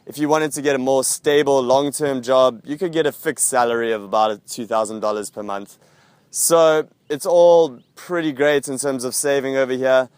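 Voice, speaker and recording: A man's voice: mid-range voice, normal speed, darkish tone, smooth, no room sound. A young man with a South African accent, hosting a casual vlog and chatting upbeat to camera about teaching abroad. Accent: South African English. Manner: upbeat, chatty, with frequent fillers. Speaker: vlog host answering viewer questions.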